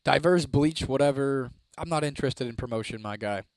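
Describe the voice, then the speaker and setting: A young man from Ohio, chatting casually on a live stream, laid-back and swearing freely, his voice a little hoarse. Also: mid-range voice, a little fast, no room sound. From Toledo, Ohio, United States. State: voice getting hoarse. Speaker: livestreamer. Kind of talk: casual live-stream chat reading comments.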